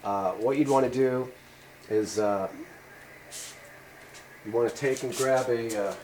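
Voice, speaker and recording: A man's voice: mid-range voice, slow pace, a little mellow, a little hiss or hum, rough recording. A man in a home workshop giving a rambling, casual how-to talk with many fillers. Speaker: channel host demonstrating a repair.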